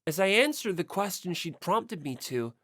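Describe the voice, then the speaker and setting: Animated, low-voiced woman narrating. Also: moderate pace, dry recording, studio quality. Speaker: audiobook narrator. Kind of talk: scripted first-person story narration.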